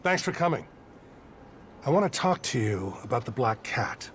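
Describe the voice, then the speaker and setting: An actor playing a plainspoken, weary older American police detective close to retirement in a video game. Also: male voice, medium pitch, very animated, moderate pace, a bit bright, slight background noise. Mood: businesslike. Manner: plainspoken, matter-of-fact.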